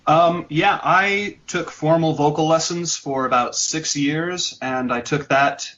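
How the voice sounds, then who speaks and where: A man's voice: fairly high pitch, slow, fairly bright tone. Earnest male band singer, muffled line. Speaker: band vocalist, guest. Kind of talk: casual interview answers. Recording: dull, lo-fi sound.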